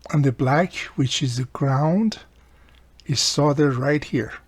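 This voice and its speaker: A man casually hosting a hands-on repair tutorial, explaining step by step and repeating key points, with a gravelly, husky voice. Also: medium pitch, slow pace, dry recording. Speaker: radio repair video host.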